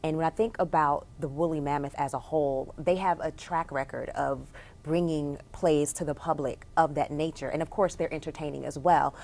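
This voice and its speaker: A woman interviewer with a somewhat low voice speaks with lively enthusiasm. She asks her guests questions and heaps praise on their work. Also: moderate pace. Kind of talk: casual interview.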